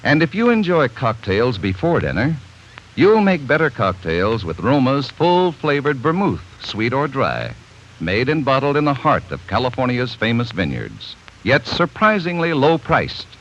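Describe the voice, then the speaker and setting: A man announcing a sponsor's commercial in a smooth, persuasive 1940s radio style. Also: mid-range voice, sing-song, a little slow, a bit bright. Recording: muffled 1940s radio broadcast.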